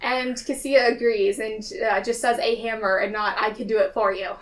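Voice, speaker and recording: High-voiced woman playing a tabletop game. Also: fairly even intonation, moderate pace. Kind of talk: casual improvised roleplay chat. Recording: distant mic with faint noise.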